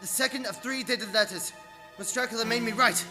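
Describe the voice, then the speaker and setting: An actor playing an anxious English gentleman held captive, narrating his diary with earnest, suspenseful theatricality in a radio play. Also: very high-pitched, fairly quick. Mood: fearful, desperate. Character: Jonathan Harker, anxious English gentleman, dread-filled captive diarist. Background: music.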